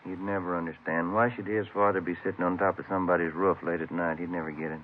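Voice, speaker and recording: Through a narrow old radio recording with faint noise comes a man acting a wry police detective. His voice is fairly low and his delivery conversational, in the manner of a 1950s crime drama. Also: steady tone, fast talker, lightly acted. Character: wry, grumbling male police detective partner and family man.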